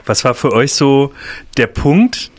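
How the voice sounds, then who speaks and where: A man's voice: mid-range voice, very animated, takes it slowly, dry recording. A man hosting a casual radio interview. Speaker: radio host interviewing guests.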